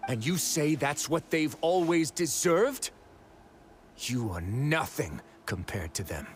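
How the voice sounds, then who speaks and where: An actor playing a composed, principled prison warden in a video game, speaking in a measured, eloquent and firm way. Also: male voice, on the high side, sing-song, noisy background. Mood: accusing. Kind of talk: dramatic video game voice acting.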